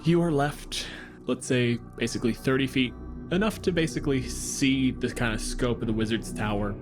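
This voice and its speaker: A man's voice: medium pitch, normal speed, over music. A man in a tabletop game, casually improvising narration of characters and scenery.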